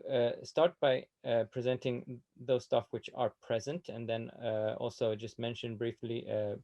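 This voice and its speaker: A man's voice: medium pitch, warm tone, dull audio. A man hosting a seminary open day, giving a formal welcome address with many hesitations and fillers.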